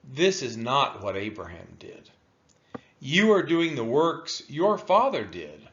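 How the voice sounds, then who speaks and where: A man reading scripture aloud in a solemn style, with deliberate, emphatic phrasing and a dull, lo-fi sound. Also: mid-range voice, sing-song, a bit bright. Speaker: scripture reader.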